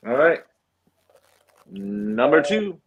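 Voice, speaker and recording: A man's voice: medium pitch, sing-song, extremely slow, slightly rough, highs cut off. A man co-hosting a podcast, casually reading out film statistics and prompting the others with short questions. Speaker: podcast co-host.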